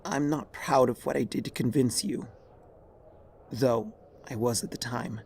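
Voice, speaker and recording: A man voicing a dying, regretful pony mare, a remorseful former tyrant, in a weary, halting, husky voice for a dramatic story. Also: medium pitch, a little mellow, a little hiss or hum. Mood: remorseful, hoarse. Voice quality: raspy, husky, creaky.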